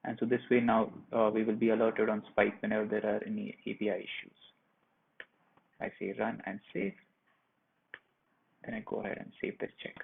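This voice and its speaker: A man presenting a software tutorial, narrating each step of a screen share in a plain, instructional way. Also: lowish voice, normal speed, vocal fry, pauses often. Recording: muffled phone-like narrow band.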